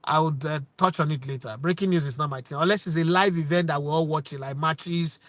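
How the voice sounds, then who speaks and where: A man in his forties from Nigeria with a Nigerian accent, hosting his sports channel with lively rhetorical questions and bits of Pidgin. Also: on the high side, brisk, no echo. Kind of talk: opinionated talk to camera.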